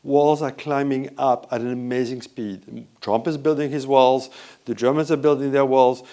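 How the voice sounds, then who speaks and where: A man with a French accent giving a lively, conversational conference talk and often asking the audience questions. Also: mid-range voice, normal speed. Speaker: conference speaker. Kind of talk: live conference presentation. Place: hotel event room.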